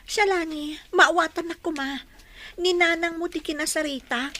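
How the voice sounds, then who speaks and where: A woman's voice: very high voice, fairly even intonation, normal speed, sharp tone. An actress playing a concerned young woman, a worried friend, pleading earnestly in a radio drama. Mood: pleading, hurt. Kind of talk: Ilocano radio drama acting.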